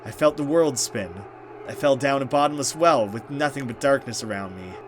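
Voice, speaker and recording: Male gaming host, medium pitch, dramatic story reading mixed with offhand commentary, slight noise behind. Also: normal speed. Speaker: let's play host. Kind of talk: dramatic game narration with casual reaction commentary.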